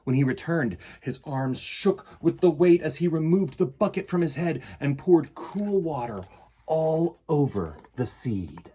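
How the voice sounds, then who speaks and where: A man in his forties with a slightly husky voice, reading and presenting a children's picture book in an expressive, dramatic way. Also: fairly high pitch. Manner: animated, dramatic, with deliberate pauses and emphasis. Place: small indoor room in front of bookshelves.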